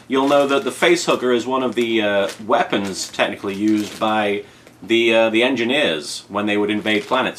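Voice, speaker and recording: A man's voice: mid-range voice, fairly bright tone. British man rambling playfully over background noise. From Britain. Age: 30s. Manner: playful mock-serious rambling with emphatic repetition. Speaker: unboxing video host. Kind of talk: casual vlog unboxing commentary. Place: indoors at home in an apartment. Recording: clear background room noise.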